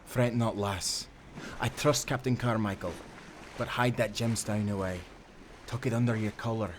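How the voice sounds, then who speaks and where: A man's voice: fairly low pitch, fairly even intonation, normal speed, slight background noise, natural voice. A man playing an earnest, protective sailor companion in an audio drama, with an urgent manner. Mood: protective, hushed. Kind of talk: cinematic audio roleplay acting.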